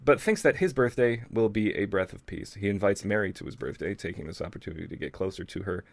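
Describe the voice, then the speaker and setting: Low-voiced man reading aloud casually. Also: fast, gravelly. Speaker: podcast host reading fan fiction aloud. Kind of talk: casual comedic read-aloud with commentary.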